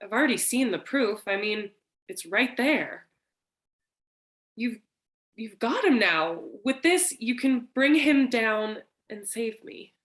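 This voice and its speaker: A woman's voice: a little high, animated, slow, fairly bright tone. Woman playing a melodramatic femme fatale in distress. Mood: earnest, urgent. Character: frightened, seductive femme fatale wife, breathy damsel in distress. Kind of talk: staged reading of a detective noir parody.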